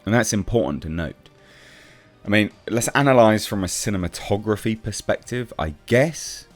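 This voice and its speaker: A man's voice: fairly low pitch, lively intonation, moderate pace, faint music behind. A man giving casual, off-the-cuff commentary on film news as a reviewer, blunt and sceptical in manner. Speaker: film reviewer, channel host.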